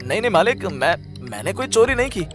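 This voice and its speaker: A man's voice: high voice, big pitch swings, quick pace, over music. A man voicing a jealous, cringing village thief in a cartoon story, stammering and pleading. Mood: nervous, pleading. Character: sneaky, jealous village thief, nervous and cringing before authority. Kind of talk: Hindi cartoon story acting.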